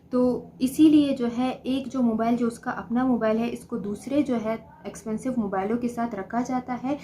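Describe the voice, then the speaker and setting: A woman giving an explanatory study lesson as a lecturer, reading key phrases slowly and then explaining them plainly. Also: a little high, mellow, a little hiss or hum. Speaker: law lecturer. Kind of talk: explanatory study lesson mixing read-out English notes with commentary.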